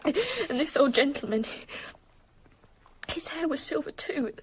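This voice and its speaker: An actress playing a gentle, impressionable young maidservant in a radio drama, halting and emotional. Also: female voice, high-pitched, big pitch swings, exaggerated voice. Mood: dreamy wonder.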